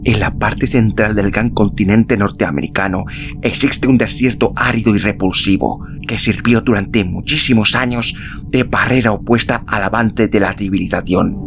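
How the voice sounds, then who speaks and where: A man reading a literary story as an audiobook narrator, in a measured, solemn, dramatic style with deliberate pauses. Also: fairly low pitch, gravelly, noisy background. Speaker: audiobook narrator and podcast host. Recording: music behind the voice. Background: background music.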